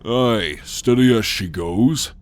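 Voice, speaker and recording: Man acting a slow, deep alien helmsman. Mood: dutiful. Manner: deliberate, wary, grudgingly obedient. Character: grumbling, cautious male alien scout helmsman. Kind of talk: ASMR sci-fi roleplay acting.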